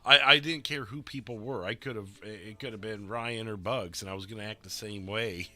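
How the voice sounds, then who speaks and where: A man chatting casually with his stream viewers, his voice a little rough and stuffy, rambling and laughing often. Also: lowish voice, normal speed, sharp tone. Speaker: livestreamer playing a roleplay dispatcher.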